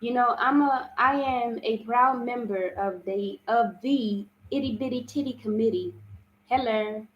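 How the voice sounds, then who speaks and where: Slow, earnest woman with a big voice. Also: a little high, echoey room, highs cut off. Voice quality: strong, big voice. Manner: earnest and repetitive, frequent "you understand?". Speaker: spiritual self-love host. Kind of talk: live-streamed motivational talk.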